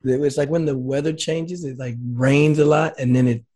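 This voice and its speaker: A man co-hosting a casual sports show, relaxed and laid-back, his voice slightly raspy and scratchy. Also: on the high side, normal speed. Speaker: co-host, former player.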